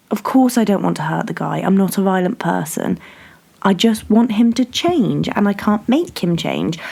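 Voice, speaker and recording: A woman's voice: medium pitch, gravelly, a little mellow, exaggerated voice. A woman performing a dramatic monologue as a nervous, aggrieved prison staffer, hesitant and forever justifying herself. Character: nervous, aggrieved prison staffer complaining about a frightening inmate.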